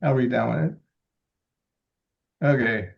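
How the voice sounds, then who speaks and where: A man in his seventies from Los Angeles reads his own poems slowly and deliberately, with halting, chatty asides between them. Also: mid-range voice, creaky, dull audio. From Los Angeles, USA. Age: 70s. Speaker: poet reading his libretto. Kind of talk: poetry reading with casual asides.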